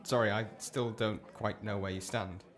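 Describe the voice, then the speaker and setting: A man's voice: lowish voice, fairly even intonation, normal speed, some chatter behind, close to an everyday voice. A man playing a polite, awkward, dry data clerk on a date in a radio play, speaking in a hesitant, stilted way. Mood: dry, probing. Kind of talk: radio play acting, conversational.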